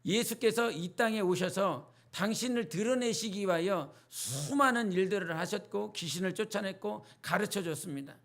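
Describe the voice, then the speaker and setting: A male pastor with a North Jeolla accent, preaching a midweek sermon in a chatty, storytelling way and putting questions to the congregation. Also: on the high side. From North Jeolla, South Korea.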